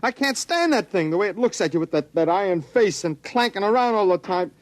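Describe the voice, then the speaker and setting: An actor playing a nervous, soft-hearted henchman who stammers and talks in anxious slang, in an old-time radio drama. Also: sits high, expressive, brisk, no room sound. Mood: panicky, pleading.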